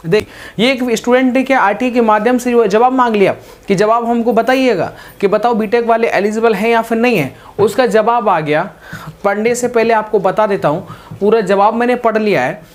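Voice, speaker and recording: A woman giving an informal explainer to viewers as host, reading out and explaining in a repetitive, direct way. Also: fairly high pitch. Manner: repetitive, explanatory, direct address with verbal tags. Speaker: host of exam update videos.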